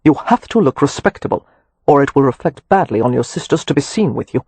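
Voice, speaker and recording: A man's voice: medium pitch, animated, a little fast, darkish tone, breathy edge, no echo. A reader voicing an exasperated man who barks orders and scolds. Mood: firm. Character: commanding brother-in-law, exasperated.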